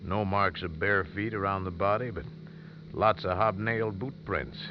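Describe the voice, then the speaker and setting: A steady older lawman with a low voice and folksy phrasing, played by a male actor. It is 1950s radio acting on a dull recording with clear background noise. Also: moderate pace, lightly acted. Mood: calm, knowing. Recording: muffled, noisy vintage radio recording.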